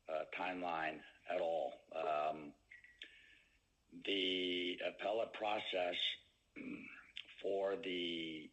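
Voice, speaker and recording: A man with a very low, bright-edged voice answers as a company executive on an earnings call. His delivery is slow and halting, broken by frequent hesitant pauses. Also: poor audio.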